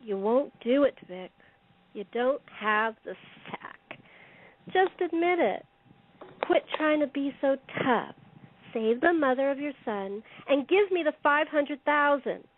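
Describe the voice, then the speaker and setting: An actress plays a cool, taunting female robber in an audio drama. Her voice is high and she takes her time, needling and daring. The sound is dull and lo-fi. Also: animated, takes it slowly. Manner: mocking, challenging. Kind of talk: radio-play style acting. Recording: dull, muffled lo-fi line.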